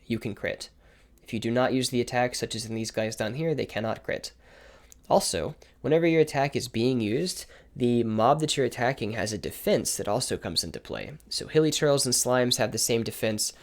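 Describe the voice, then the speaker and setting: Man, slightly hoarse, mid-range pitch, informal guide explainer, self-correcting. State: slightly hoarse from repeated takes. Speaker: game guide creator. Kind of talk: explaining game mechanics over gameplay footage.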